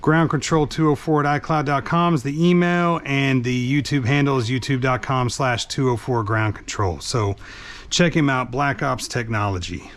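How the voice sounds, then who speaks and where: A man's voice: medium pitch, moderate pace, fairly bright tone. A man giving an informal, hands-on how-to, talking through the task as he works. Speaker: vlogger, truck driver. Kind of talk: casual hands-on how-to narration. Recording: background noise while working.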